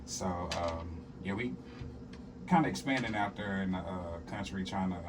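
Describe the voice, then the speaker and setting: A man's voice: low voice, moderate pace, distant mic, noisy background. A young man from southern Arkansas, a comedian and musician, chatting casually as an interview guest and telling stories with a laugh. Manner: laid-back, laughing, storytelling.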